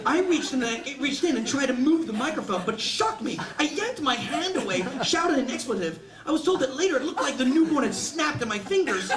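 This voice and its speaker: A man's voice: extremely high pitch, a little fast, hardly any pauses, crowd noise. Echoey, distant man reading a comic story. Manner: animated comic storyteller, acts out quoted lines. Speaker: author reading his own story. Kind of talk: live humorous short-story reading. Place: indoor bookstore reading event. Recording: echoey bookshop room, distant mic, audience noise. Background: laughing audience.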